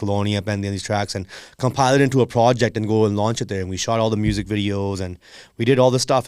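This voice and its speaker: A man talks at a brisk clip in a clear, resonant voice, close and dry on the mic. He tells stories casually and informally, like a guest on a podcast. His delivery is animated and loosely strung together with conversational tags. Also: on the low side, no room sound. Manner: animated, informal, peppers speech with "like" and "you know". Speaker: podcast guest, music producer.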